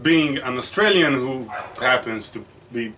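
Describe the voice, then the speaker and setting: A man's voice: medium pitch, lively intonation, measured pace, sharp tone. A man co-hosting a casual movie podcast, talking eagerly and often over his co-host. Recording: distant mic with faint noise.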